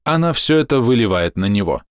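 A man reading a scripted explanatory voice-over in measured, deliberate short phrases, close to the microphone. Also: mid-range voice, very animated, no room sound. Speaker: narrator.

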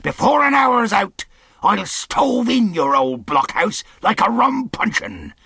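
A man voicing a menacing, wrathful pirate leader in an audiobook, rough and growling, speaking in rustic sailor dialect. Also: high voice, sing-song, slow, sharp tone, no room sound, studio quality, pitched above the performer's own voice, exaggerated voice. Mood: furious, threatening. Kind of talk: audiobook character acting.

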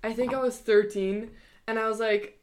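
A woman's voice: a little high, fairly even intonation, moderate pace, a little mellow. A young woman from the Netherlands on a student podcast, giggly and chiming in. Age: young adult. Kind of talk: casual podcast chat.

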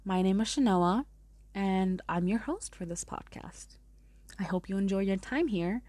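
A woman's voice: mid-range voice, measured pace, dark tone, no room sound. A woman in her twenties with a German accent, hosting a podcast in a chatty, rambling way. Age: 20s. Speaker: podcast host. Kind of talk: casual podcast monologue.

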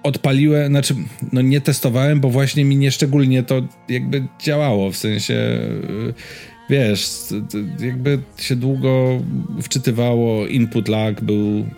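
A man in a casual tech and gaming chat, speaking haltingly and often stammering and restarting his words. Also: mid-range voice, fairly even intonation, vocal fry, a little mellow, soft background music. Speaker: podcast co-host.

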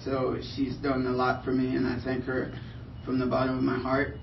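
A man's voice: mid-range voice, monotone, normal speed, gravelly. A young man from Liberia, a pro soccer player, answering press questions hesitantly with many fillers. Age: young adult. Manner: hesitant, filler-heavy, soft-spoken. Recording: roomy, distant, muffled, light noise.

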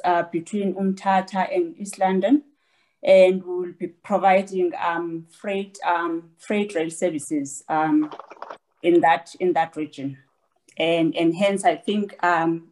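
A woman introducing herself on a panel, hesitant with many pauses and fillers. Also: on the low side, a little mellow, low-quality recording. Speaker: panelist, company executive.

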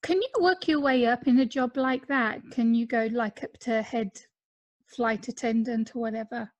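A woman's voice: on the high side, steady tone, normal speed, dry recording. A woman interviewer asking questions and reacting in a casual, conversational way.

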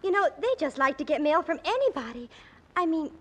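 A woman's voice: sits high, animated, fairly quick, fairly bright tone. An actress playing a chatty, apologetic teenage girl in an old radio drama, earnest and a little awkward. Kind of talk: 1940s radio drama acting.

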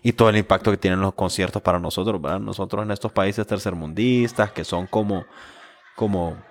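A man's voice: low-pitched, fairly even intonation, fairly quick. A man. He talks informally as one of the hosts of a group podcast. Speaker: podcast co-host. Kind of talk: casual group chat.

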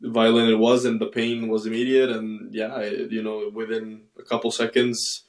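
Low-voiced young man in an echoey room. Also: little pitch movement. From Ecuador, raised in Miami. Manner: measured, halting with fillers and restarts. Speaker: racing driver guest. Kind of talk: casual interview.

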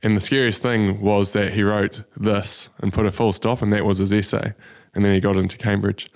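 A young man, a business founder telling his story in a relaxed way, with many ums. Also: fairly low pitch, moderate pace. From New Zealand. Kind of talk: casual interview. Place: studio.

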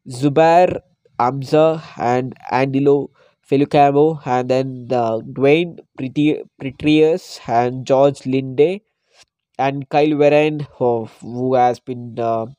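Male podcast host, hoarse with a cold, mellow dark tone, dry close sound. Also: mid-range voice, dry recording. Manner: hesitant, frequent "uh" fillers. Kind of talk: casual solo cricket podcast.

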